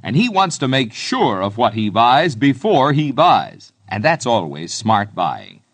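A male American announcer sells in classic radio style. His mid-pitched voice moves through very animated melody, folksy and persuasive. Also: measured pace. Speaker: commercial announcer. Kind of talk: old-time radio advertising read.

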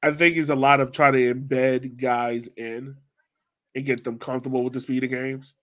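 A man's voice: mid-range voice, little pitch movement, normal speed, a bit bright. An American man chatting casually about sports as a podcast co-host.

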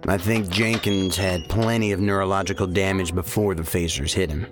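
An actor playing a hardened, blunt federal field agent in an audio drama, serious in manner. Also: male voice, sits low, steady tone, moderate pace, over music, close to an everyday voice. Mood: defensive.

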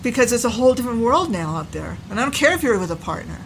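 An older woman telling her stepdaughter about her past in a casual interview, unhurried and reflective, often trailing off while she searches for words. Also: a little high, very animated, creaky, poor audio. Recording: noticeable background noise.

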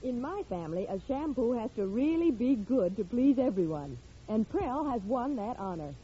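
An American woman reads a 1940s radio testimonial in a soft, rounded voice with lively pitch. The recording is dull, with a little noise. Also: normal speed, breathy edge. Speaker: sponsor testimonial speaker. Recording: muffled, noisy vintage radio broadcast.

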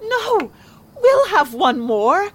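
Woman acting a high, grieving, obsessive mother. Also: big pitch swings, extremely slow, a bit bright, heavily acted. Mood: frantic, obsessive. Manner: dramatic, insistent, emotional. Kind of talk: audio drama horror acting.

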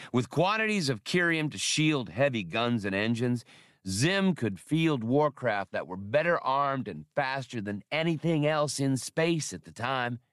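Male narrator as seasoned jovial adventurer, colloquial, emphatic, animated, sci-fi audiobook. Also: medium pitch, no echo, clean studio sound, voice pushed up. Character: jovial seasoned male adventurer explaining old war technology.

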